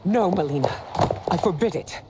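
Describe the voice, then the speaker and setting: An actress playing a proud, stern middle-aged empress in a dramatic video game cutscene, speaking in a grave, regal manner. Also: female voice, fairly low pitch, animated, moderate pace, heavy background noise, close to an everyday voice. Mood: alarmed. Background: dramatic music.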